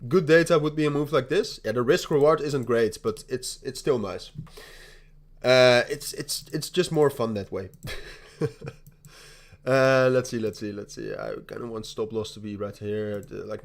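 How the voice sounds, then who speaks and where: A man's voice: fairly low pitch, steady tone, moderate pace, vocal fry. A young man with a Dutch accent hosting a casual crypto livestream, rambling with frequent laughs, repeated starts and the odd swear. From Netherlands. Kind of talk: casual livestream chat while trading.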